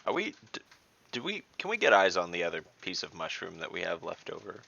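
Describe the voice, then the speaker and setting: A man with a very low, creaky voice chats casually as a player in a tabletop game. He speaks haltingly, often starting a question over, over slightly muffled online call audio. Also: wildly swooping pitch, sharp tone, dry recording.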